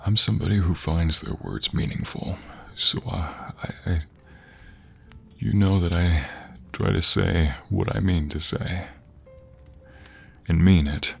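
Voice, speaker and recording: A man playing an affectionate, playfully teasing husband in an intimate ASMR roleplay, soft, husky and gravelly, with murmured coaxing and breathy whispers. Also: low-pitched, slow, long pauses, soft background music, full character voice. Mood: sincere, sappy. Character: affectionate, playfully teasing, sappy husband doting on his wife.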